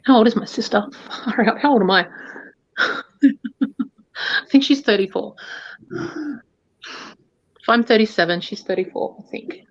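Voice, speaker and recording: A woman's voice: a little high, expressive, a little slow, pauses often, dry recording. A woman rambles through casual talk on a live stream. Her sound is dry and a bit dull, like a lo-fi call line. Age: 30s. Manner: rambling, forgetful, chatty. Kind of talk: casual chat.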